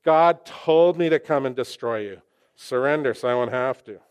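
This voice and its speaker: A man voicing an arrogant, warlike Assyrian king who shouts slow, taunting demands. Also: mid-range voice, smooth, close to an everyday voice.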